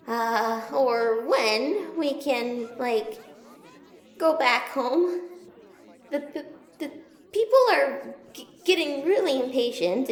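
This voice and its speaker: A woman's voice: sits high, reverberant, full character voice. A woman playing a timid, awkward female monster in an audio drama, with a nervous, hesitant stammer.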